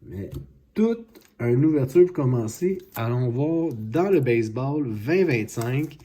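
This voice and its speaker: A man hosting a card-opening video, unhurried and excited over big pulls. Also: medium pitch, slightly rough. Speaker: card collecting channel host. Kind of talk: casual card-opening vlog. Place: home, at a card-opening mat.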